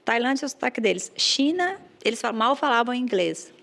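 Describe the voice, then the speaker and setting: A woman from Brazil, a podcast guest telling stories of life abroad in an animated, chatty way. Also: fairly high pitch, fairly quick, vocal fry. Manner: animated storyteller, frequent "né" and "gente". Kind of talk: casual interview chat.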